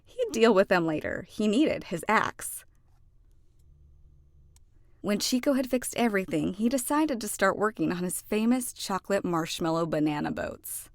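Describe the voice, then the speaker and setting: A woman narrating a children's storybook expressively, with deliberate phrasing and dramatic emphasis. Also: medium pitch, lively intonation, dry recording, clean studio sound. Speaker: children's book read-aloud narrator.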